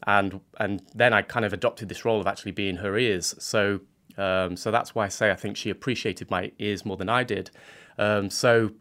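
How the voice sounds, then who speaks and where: A man speaking as a guest on a radio interview, earnest and halting, often restarting his sentences as he explains himself. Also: on the low side, fairly even intonation, a bit bright. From England. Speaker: guest, art student.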